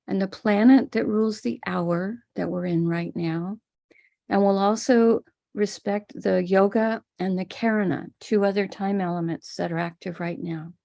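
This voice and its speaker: A woman with an American accent, gently explaining and guiding a live online ritual as a spiritual teacher. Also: mid-range voice, gravelly. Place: home room in Florida.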